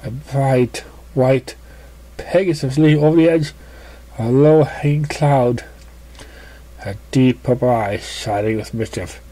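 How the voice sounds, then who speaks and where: A man narrates a written story in a mellow, relaxed voice. His pitch is average and his reading is a little halting, like an amateur working through the text. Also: low-quality recording. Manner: halting reading with misread words. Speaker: story reader. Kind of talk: scripted narration.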